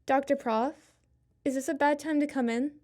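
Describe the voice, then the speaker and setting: A woman's voice: high-pitched, steady tone, moderate pace, noisy background, lightly acted. A young woman playing a meek, apologetic university student in an audio drama. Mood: remorseful.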